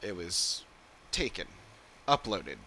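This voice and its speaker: A man's voice: sits low, big pitch swings, takes it slowly, sharp tone, slight background noise. A man doing casual livestream commentary as he plays a game, musing and rambling as he thinks aloud. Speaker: game streamer.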